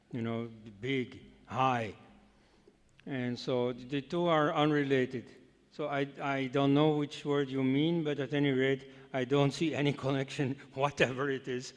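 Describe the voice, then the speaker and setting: A man whose first language is Dutch, speaking as a guest scholar answering audience questions, measured and peppered with "you know" and brushing off weak ideas. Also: medium pitch, big-room sound. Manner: measured, frequent "you know", dismissive asides. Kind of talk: lecture Q&A.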